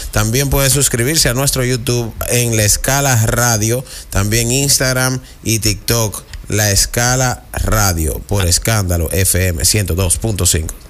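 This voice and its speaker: A man with a Dominican accent co-hosting a casual talk radio show, easygoing and quick to agree. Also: on the low side, steady tone. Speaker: radio co-host.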